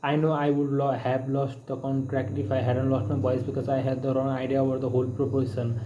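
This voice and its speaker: Flat-voiced man reading aloud, roomy. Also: medium pitch, dull audio, noisy background. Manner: halting reading with restarts on words. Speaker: book reader. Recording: roomy echo with clear background ambience.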